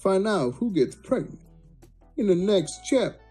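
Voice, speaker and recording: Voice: medium pitch, sing-song, a little slow, mellow, breathy edge, faint music behind. A person giving a crude, mock-dramatic reading of a comic story, sometimes breaking off into blunt asides. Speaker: amateur story reader.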